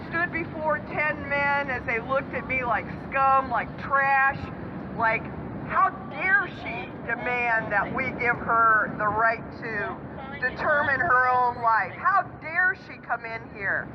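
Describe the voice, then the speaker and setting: An older woman giving a personal testimony speech at an outdoor rally, slow and emphatic. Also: high-pitched. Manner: slow, deliberate, emphatic delivery. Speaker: rally speaker. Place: outdoor city street rally. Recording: muffled outdoor recording with traffic noise.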